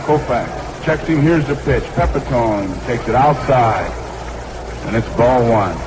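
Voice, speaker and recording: Male baseball announcer, 1960s radio style, mid-pitched, clipped delivery, clearly noisy archival broadcast sound. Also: a little slow. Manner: short clipped phrases, repeats the count. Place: ballpark broadcast booth.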